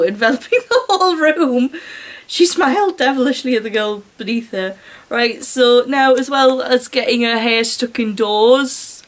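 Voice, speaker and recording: A woman with a high-pitched British voice reads a story aloud in an amateur style. She is giggly and openly incredulous, breaking into sarcastic commentary on what she reads. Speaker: reader and commentator. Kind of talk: amateur story reading with mocking asides.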